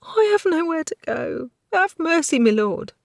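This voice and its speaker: A woman's voice: high voice, sing-song, measured pace, vocal fry, no room sound, clean studio sound, voice pushed up, heavily acted. A reader voicing a frightened young servant girl, pleading and crying. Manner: pleading, trembling.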